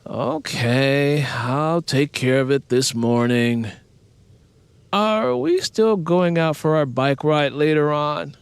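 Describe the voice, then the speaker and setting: A man voicing a sleepy, wheedling teenage daughter in an audiobook, with a drawn-out, pleading manner. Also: fairly high pitch, lively intonation, creaky, clean tone, no room sound, voice pushed up, heavily acted. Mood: sleepy, defensive.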